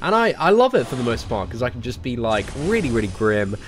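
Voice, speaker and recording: A man's voice: mid-range voice, very animated, quick pace, slight background noise. A young man live-streaming a mobile game, chatting casually with viewers while he plays, sounding a bit nasal from a cold. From England. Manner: chatty, many fillers, greets viewers by name. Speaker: gaming streamer.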